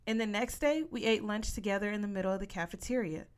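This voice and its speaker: A woman reads a story in the first person, as for an audiobook. Her voice sits at a middle pitch, and her delivery is expressive and narrative. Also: fast, smooth. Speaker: audiobook reader.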